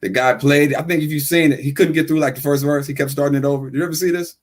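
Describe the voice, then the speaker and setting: A man's voice: fairly high pitch, steady tone, quick pace. Rambling man in his forties. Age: 40s. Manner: rambling, full of fillers and "right?" tag questions. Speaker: podcast guest, rapper. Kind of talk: casual chat.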